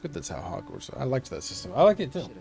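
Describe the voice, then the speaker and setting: A man streaming a game, talking casually in a gravelly voice and rambling with many fillers. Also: mid-range voice, huge pitch swings, fast, mellow. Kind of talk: casual gameplay commentary.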